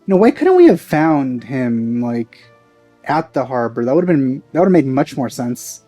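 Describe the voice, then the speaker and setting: A man's voice: mid-range voice, big pitch swings, a little slow, mellow. A man giving casual let's play commentary in a dry, skeptical, sarcastic way. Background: faint game music.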